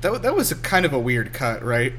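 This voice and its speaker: A man's voice: medium pitch, big pitch swings, a little fast, a bit bright. Male podcast co-host, average register, informal film commentary with music underneath. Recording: podcast with film soundtrack behind. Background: film audio and music.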